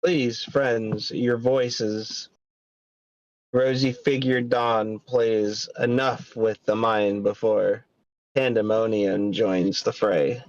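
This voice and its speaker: Courtly henchman , male reader: florid, formal, unhurried stage delivery, mid-pitched, slightly lo-fi sound. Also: fairly even intonation, dry recording. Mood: grave, florid. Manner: formal, poetic diction. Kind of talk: amateur script table read.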